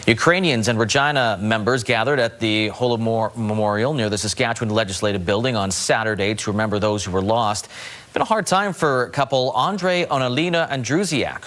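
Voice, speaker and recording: A man's voice: medium pitch, fairly bright tone. Male local news anchor. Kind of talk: local newscast reading.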